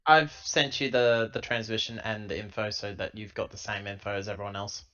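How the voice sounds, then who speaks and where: A dull, lo-fi recording of a man at a tabletop game. His voice is low, and he talks casually as a player. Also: fairly even intonation, fairly quick, fairly bright tone. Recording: dull, lo-fi voice audio.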